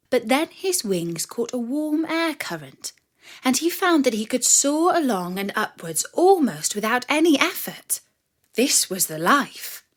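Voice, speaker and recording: A woman narrating a children's story from a script with expressive, dramatic delivery. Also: on the high side.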